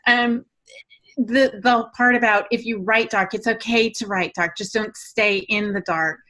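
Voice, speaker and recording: Bright-voiced, chatty woman co-host. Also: fairly high pitch, fairly even intonation, unhurried. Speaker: podcast co-host and writer. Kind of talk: casual podcast chat.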